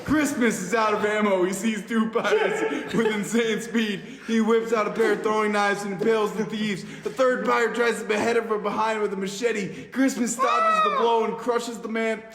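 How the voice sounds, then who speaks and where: A man's voice: high-pitched, animated, moderate pace, hardly any pauses, crowd noise. A man reading an action film script aloud theatrically, stumbling over words now and then. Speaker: podcast host reading narration aloud. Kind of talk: dramatic read-aloud of an action film script among friends. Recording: echoey reverberant room, faint noise.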